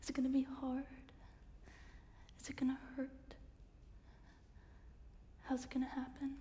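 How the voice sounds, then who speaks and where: A woman performing spoken word in a hushed, breathy whisper. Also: sits high, monotone, a little slow. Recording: long reverb, faint background noise.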